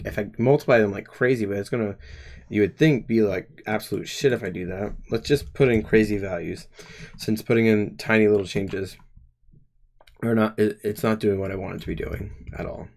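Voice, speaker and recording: A man in his twenties talks through his code on a live stream, working out the logic aloud. His voice sits a little low. He pauses and restarts often as he thinks. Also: darkish tone. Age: 20s. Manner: hesitant, many pauses and restarts. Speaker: game developer streamer.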